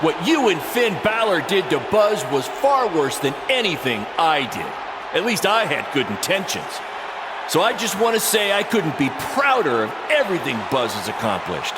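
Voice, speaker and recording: A man's voice: on the high side, very animated, moderate pace. An actor playing a remorseful, broken veteran wrestler, a man speaking earnestly and emphatically as a proud former mentor in a wrestling game. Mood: defiant, proud. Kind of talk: wrestling promo on microphone. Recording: loud arena crowd and noise.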